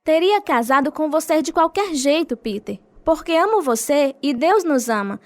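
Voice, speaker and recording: A woman's voice: sits high, expressive, moderate pace, dry recording. An actress playing a devout, grieving mother and steadfast wife in a radio drama, earnest and sorrowful. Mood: sad, devoted. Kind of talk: radio drama acting and first-person narration.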